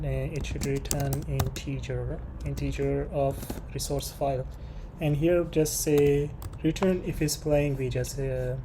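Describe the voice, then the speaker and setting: A programming tutorial presenter talking through code unscripted, haltingly thinking aloud while working. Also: medium pitch. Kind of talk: unscripted screen-share coding tutorial. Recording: clear background noise with keyboard typing.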